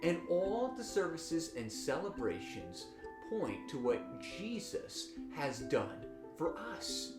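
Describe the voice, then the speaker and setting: A woman hosts a children's read-aloud with a lively, swinging intonation and deliberate delivery. She speaks slowly and expressively to young listeners. The room adds a clear echo, and guitar music plays behind her. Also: sits low. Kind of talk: scripted introduction for children.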